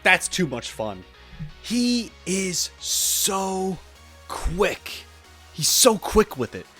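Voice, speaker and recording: A man's voice: sits high, sing-song, drawn-out words, sharp tone. A man giving casual gaming reaction commentary as a video host, informal and exclamatory, thinking aloud as he goes. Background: background game music.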